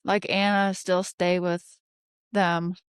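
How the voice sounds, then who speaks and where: A woman host reads tarot cards in a slow, deliberate delivery. Her voice is smooth and clear, recorded close and dry. She thinks out loud, pausing often and putting questions to the air. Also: lowish voice, level tone, no echo. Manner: halting, pondering aloud with rhetorical questions. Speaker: tarot card reader, host. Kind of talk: unscripted tarot reading commentary.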